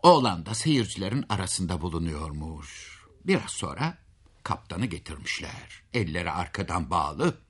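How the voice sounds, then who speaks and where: Male storyteller for folk tales: husky, highly animated pitch, dramatic and folksy, chatting to the listener. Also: normal speed. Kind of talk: expressive oral fairy-tale narration.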